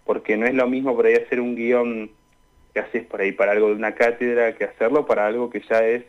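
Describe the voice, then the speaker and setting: A young Argentine man answering questions in a conversational radio interview, speaking in a hesitant, halting way. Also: medium pitch, level tone. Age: young adult. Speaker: interview guest, student radio drama maker. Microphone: remote call into a radio show. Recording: dull, lo-fi call-in sound.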